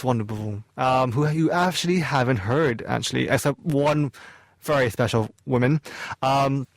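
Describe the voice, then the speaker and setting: A man's voice: mid-range voice, no room sound. A young man hosting a student radio talk show, chatty and rambling, often correcting himself mid-sentence. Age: young adult. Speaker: host. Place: small student radio studio.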